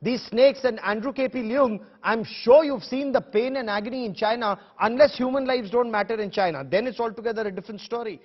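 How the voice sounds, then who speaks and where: A man with an Indian accent moderating a TV news debate, pressing guests with emphatic, confrontational rhetorical questions in a husky voice. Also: very high voice, brisk, hardly any pauses. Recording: dull lo-fi broadcast audio.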